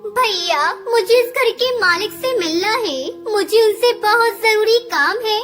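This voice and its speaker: A woman voicing a gentle, honest, morally firm mother bird in a cartoon moral story. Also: extremely high pitch, unhurried, soft background music. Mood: gentle, earnest.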